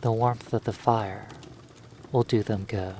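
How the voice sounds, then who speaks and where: A narrator telling a second-person hypnosis story in a measured, halting way, in short phrases with long pauses. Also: medium pitch, steady tone, dark tone, a little hiss or hum.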